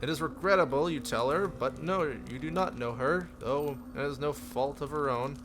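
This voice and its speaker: A man's voice: on the high side, normal speed, noisy background. A man reading a visual novel aloud on a live stream as narrator, in a theatrical storybook delivery.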